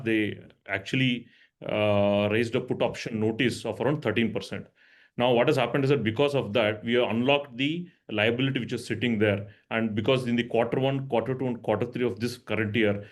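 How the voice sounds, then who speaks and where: A man speaking as a company finance executive, explaining answers on an earnings call and often checking in with "right?". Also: mid-range voice.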